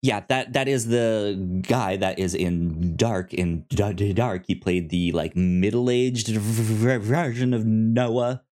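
A man hosting a casual review podcast, speaking in a halting way with stammered, repeated word starts. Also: on the low side, a little mellow. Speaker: podcast host.

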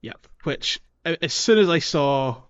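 A man's voice: on the high side, animated, measured pace, fairly bright tone, clean tone, muffled recording. A man co-hosting a gaming podcast in casual, conversational chat. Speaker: podcast co-host.

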